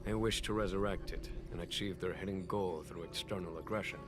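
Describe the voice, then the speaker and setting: An actor voicing a grave, learned general in a game, recounting ancient history in measured, formal speech. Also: male voice, deep voice, fairly even intonation, close to an everyday voice. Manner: measured, formal, weighty storytelling. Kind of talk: solemn scripted game dialogue. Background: game music.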